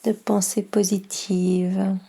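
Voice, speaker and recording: A woman guiding a yoga relaxation session as instructor, speaking in a soft, breathy voice with calm, soothing instructions. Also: medium pitch. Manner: slow, calm, soothing instructions with long pauses. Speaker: yoga nidra instructor and guide. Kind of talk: guided relaxation meditation.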